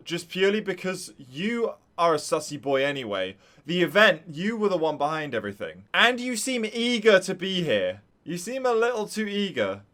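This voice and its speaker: A man's voice: high-pitched, fairly bright tone. A man reacting live to a game as a streamer, playful and exclamatory.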